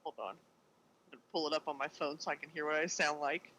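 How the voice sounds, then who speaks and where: A woman cohosting a podcast in playful, casual banter, with a naturally loud voice, talking from home into a desk microphone. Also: sits low, level tone, quick pace, a little hiss or hum, low-quality recording.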